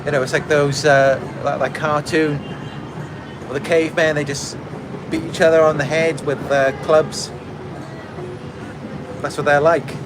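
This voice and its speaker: A man's voice: a little high, level tone, a little slow, long pauses, noisy background. A Welsh man from Wales, a channel host, reading out viewer comments and replying in a reflective, casual way with fillers and restarts. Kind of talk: casual talk to camera.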